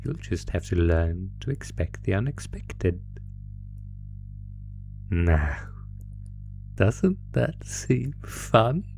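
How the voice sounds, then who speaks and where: A man voicing a sinister, sadistic monster from under the bed in a horror roleplay, taunting his prey in a deliberate, mock-genteel, theatrical way. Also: low voice, expressive, a little mellow, exaggerated voice. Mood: ominous, amused. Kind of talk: horror roleplay audio, slow theatrical menace. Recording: music under the voice. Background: music.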